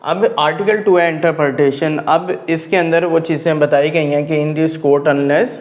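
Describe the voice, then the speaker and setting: Slow-paced Pakistani man teaching. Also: fairly high pitch, fairly even intonation, rough recording. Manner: didactic, repeatedly checking understanding. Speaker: law teacher. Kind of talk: lecture explaining a legal text point by point.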